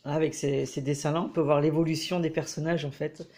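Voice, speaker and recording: A man with a head cold giving a casual, hesitant review of a book as he leafs through it, pausing often. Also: a little high, level tone, a little mellow. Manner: hesitant, frequent filler pauses.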